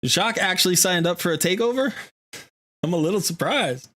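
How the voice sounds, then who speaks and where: Chatty man with a bright, forceful voice. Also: sits high, expressive, a little fast. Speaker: livestream host. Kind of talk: casual gaming livestream chat.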